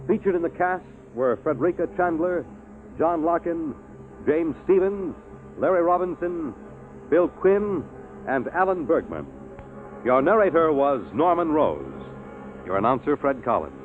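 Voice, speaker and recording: Animated 1950s radio announcer, male. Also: a little high, measured pace, faint music behind. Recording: muffled, faintly noisy old radio recording.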